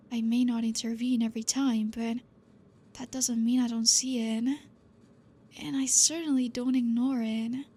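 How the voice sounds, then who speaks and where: A woman voicing a gentle, possessive female vampire professor in an ASMR roleplay, talking softly and coaxingly and slipping into whispers. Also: fairly high pitch, husky. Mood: reassuring. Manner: soft, slow and coaxing, drifting into whispers.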